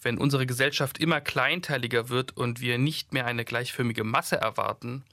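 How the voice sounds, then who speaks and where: A man in his thirties hosting a podcast and interviewing a guest in a clear, measured, conversational way. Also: medium pitch, fairly even intonation, pushed and bright, no echo, clean studio sound. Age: 30s. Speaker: podcast host.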